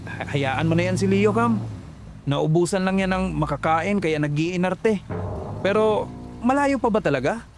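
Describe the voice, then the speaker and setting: A man's voice: high voice, animated, a little slow, long pauses, noisy background. A man voicing a young man who drives his friends and speaks casually and politely.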